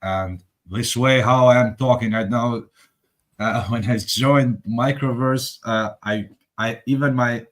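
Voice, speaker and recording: A man's voice: mid-range voice, fairly even intonation, slow pace. A man with an Albanian accent co-hosting a live online workshop, speaking earnestly and often restarting as he searches for words. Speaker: workshop co-host.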